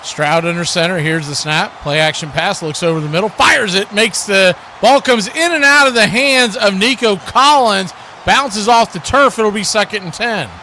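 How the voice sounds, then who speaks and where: A man with a high, forceful voice calls a football game play by play as a live announcer. There is a clear layer of noise behind him. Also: normal speed.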